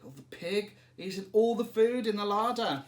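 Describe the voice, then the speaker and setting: A man's voice: sits high, moderate pace. A man reading a children's story aloud expressively, speaking to young listeners like a teacher. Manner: animated, addressing young listeners.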